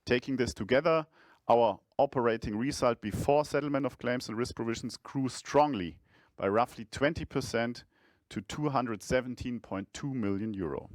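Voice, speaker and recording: Male CFO, mid-range voice, formal measured scripted delivery for an earnings call, dry recording. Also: medium pitch, no room sound. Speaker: chief financial officer presenting results.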